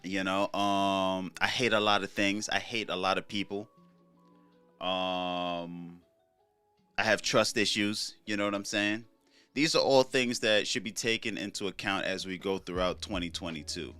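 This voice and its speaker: Deep-voiced laid-back man, rambling. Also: measured pace, bright, forceful tone, clean tone, soft background music. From Trinidad. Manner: laid-back, rambling, profane, many fillers. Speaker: podcast host. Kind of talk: casual solo commentary.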